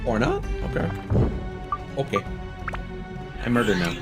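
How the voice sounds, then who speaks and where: A man commentating casually on a game livestream, rambling in halting asides with repeated words. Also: medium pitch, huge pitch swings, creaky, music in the background. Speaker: game streamer.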